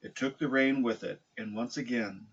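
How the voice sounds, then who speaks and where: A man with a husky voice reading a children's picture book aloud. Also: medium pitch, normal speed, lo-fi sound, a little hiss or hum. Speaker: storybook reader, dog owner.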